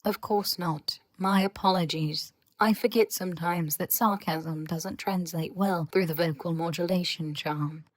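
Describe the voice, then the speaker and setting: A woman playing an aloof, sarcastic researcher who lectures in a measured, formal and dry way in an audio story. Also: on the low side, fairly even intonation, no room sound. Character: aloof, sarcastic, scholarly government researcher speaking through voice-disguising charm. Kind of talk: scripted audio fiction dialogue.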